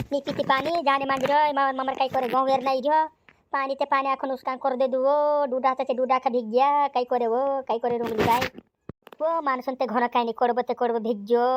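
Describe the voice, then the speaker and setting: A woman's voice: sits high, natural voice. A woman voicing a weary, plaintive cartoon villager who repeats words, on a noisy recording. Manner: repetitive, plaintive. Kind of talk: regional comedy cartoon dialogue.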